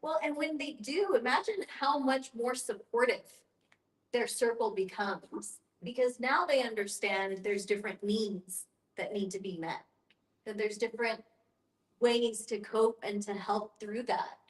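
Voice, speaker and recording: A woman's voice: a little high, unhurried. A woman speaking as a panelist in a conversational group discussion, agreeing and explaining. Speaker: panelist at a discussion on end-of-life planning. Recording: roomy echo.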